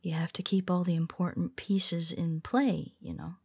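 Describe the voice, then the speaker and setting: A woman playing a husky, whispering superhero. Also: lowish voice, steady tone, normal speed, no room sound. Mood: tender, confessional. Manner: hesitant and soft-spoken, with many restarts. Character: tender, nervous young female superhero. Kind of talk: audio roleplay for a listener.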